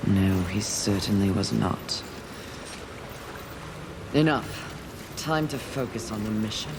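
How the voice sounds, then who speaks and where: Stern low-voiced warrior woman, noisy backdrop. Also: animated, takes it slowly, exaggerated voice. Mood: stern, vexed. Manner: curt, cold, commanding. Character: stern, haughty female warrior on a grim mission. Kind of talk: video game cutscene dialogue.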